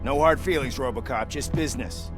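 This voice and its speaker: A man's voice: mid-range voice, brisk, music in the background, lightly acted. A male actor playing a pragmatic, mocking mercenary leader in a video game, curt and businesslike. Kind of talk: video game cutscene acting.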